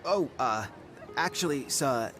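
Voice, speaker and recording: An actor playing a nervous, deferential young coach hand, polite and hesitant, in a fantasy video game. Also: male voice, mid-range voice, lively intonation, takes it slowly, a bit bright, faint music behind. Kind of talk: dramatic video game voice acting.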